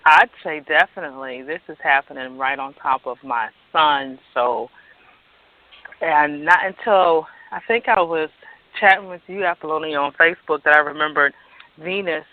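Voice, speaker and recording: A man on an astrology show panel, slightly hoarse from illness, chatting in an upbeat, reflective way. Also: on the high side, normal speed. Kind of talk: casual chat. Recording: dry tinny phone line.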